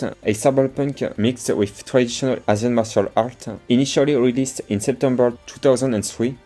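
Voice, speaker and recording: A man's voice: mid-range voice, mellow. A man whose native language is French, narrating scripted game reviews as a video presenter. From French-speaking country. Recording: music under the voice. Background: background music.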